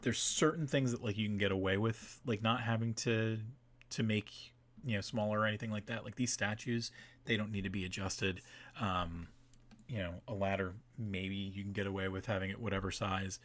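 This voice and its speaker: Male stream host, lower register, informal and halting, talking through things casually. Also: steady tone, fairly bright tone. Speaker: map maker and stream host. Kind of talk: casual livestream commentary.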